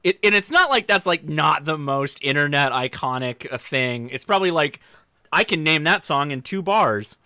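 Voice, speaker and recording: Bright, clear-voiced American man, casual streamer. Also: a little high, lively intonation, normal speed, no room sound, studio quality. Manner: informal, joking, addresses viewers directly. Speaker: livestream host. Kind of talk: casual livestream chat, answering viewer questions.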